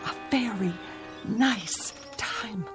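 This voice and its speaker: A woman's voice: mid-range voice, extremely slow, faint music behind. A woman playing an anxious farm mother in a radio drama, speaking carefully and with forced reassurance. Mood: anxious, soothing. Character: anxious farm mother forcing cheerful calm.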